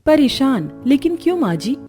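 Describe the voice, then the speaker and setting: Kind young cartoon bride, voiced by a woman, reassuring and soft-toned, light music behind. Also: sits high, very animated, normal speed, voice pushed up.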